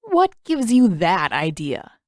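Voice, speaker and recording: A woman's voice: mid-range voice, huge pitch swings, takes it slowly, no echo, clean studio sound, full character voice. A woman voicing a brash, grumpy, protective teenage big brother in a story, indignant and pestering.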